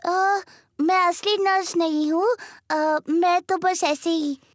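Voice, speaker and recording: A very high-voiced little sheep girl in a children's cartoon. She is eager and caring, and speaks in an earnest, childlike, unhurried way. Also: steady tone, no echo.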